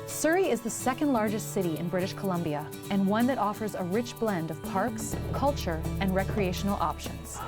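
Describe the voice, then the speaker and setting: A woman reporter reading scripted voice-over narration for a travel segment in a smooth, even delivery. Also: medium pitch, normal speed, hardly any pauses. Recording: music bed behind narration.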